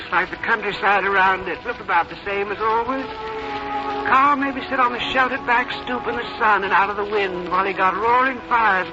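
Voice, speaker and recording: An elderly country mother played by a woman in a golden-age radio play. She recalls the past fondly and deliberately, with quiet determination. The sound is a muffled vintage broadcast with music underneath. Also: mid-range voice, lightly acted. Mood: quietly determined. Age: older. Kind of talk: 1940s-50s radio drama acting, first-person narration.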